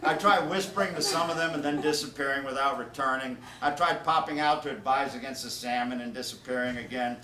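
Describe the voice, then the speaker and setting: A man's voice: medium pitch, fairly quick, hardly any pauses, crowd noise. A man reading comedic stories aloud as an author at a live event, with informal asides to his listeners. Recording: roomy, distant, noisy live event recording.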